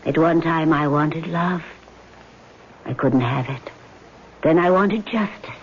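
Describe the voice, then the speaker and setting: Vengeful woman ghost, slow commanding speech, lovelorn, weary, vintage radio acting, dull hissy recording. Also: low-pitched. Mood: brooding, menacing.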